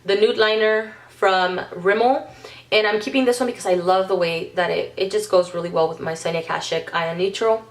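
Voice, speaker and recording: A woman's voice: mid-range voice, steady tone, fairly quick. A congested, under-the-weather woman chatting through a casual makeup vlog, rambling with frequent ums and self-corrections. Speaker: beauty vlogger.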